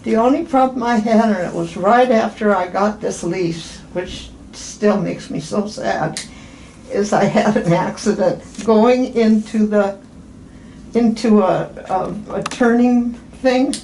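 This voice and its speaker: A woman's voice: mid-range voice, warm tone. Rambling woman in her eighties, echoey room. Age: 80s. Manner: rambling, halting, repeats and restarts phrases. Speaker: podiatry patient. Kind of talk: casual chat during an appointment. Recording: echoey room with faint background noise.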